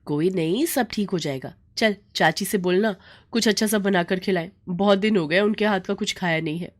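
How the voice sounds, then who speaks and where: A woman's voice: mid-range voice, normal speed, natural voice. Woman playing a chatty young married friend. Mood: affectionate. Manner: chatty, familiar.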